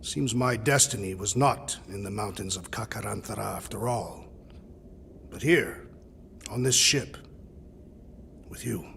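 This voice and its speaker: A man playing a solemn, honour-bound alien warrior in a video game cutscene, speaking gravely and formally. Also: fairly low pitch, measured pace, pauses often, a bit bright, big-room sound, a little hiss or hum. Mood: solemn, reflective. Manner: grave, formal, storytelling delivery without contractions.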